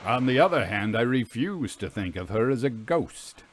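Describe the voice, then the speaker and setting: A man's voice: mid-range voice, sing-song, normal speed, a little hiss or hum, close to an everyday voice. A man playing a plain-spoken, good-humored boatman in a story, with folksy, clipped phrasing. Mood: wistful, skeptical. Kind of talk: audio storytelling, acted dialogue.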